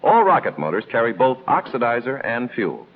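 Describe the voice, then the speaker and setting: An American man reading scripted instructional narration in the style of a 1950s military training film, explaining in a measured, didactic way with clear emphasis. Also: mid-range voice, huge pitch swings. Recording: tinny band-limited old film soundtrack, noisy.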